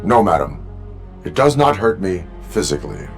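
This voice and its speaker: Game role: cyborg police officer, low-pitched and formal, deadpan yet widely swinging in pitch. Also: male voice, normal speed, over music. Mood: stoic, formal. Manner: formal, deadpan, stiff clipped phrasing. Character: stoic cyborg police officer, a dead man turned machine. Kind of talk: video game cutscene acting.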